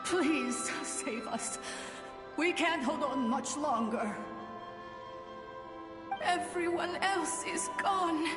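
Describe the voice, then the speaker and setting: A woman voicing the ghost of a doomed soldier, desperate yet resolute, in a dramatic video game. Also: high-pitched. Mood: desperate pleading. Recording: long ghostly reverb, music underneath.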